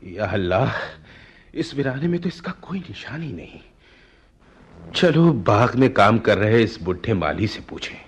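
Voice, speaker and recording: Young prince, bewildered, dramatic, husky, widely swinging pitch. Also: male voice, animated, unhurried. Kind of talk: radio play acting.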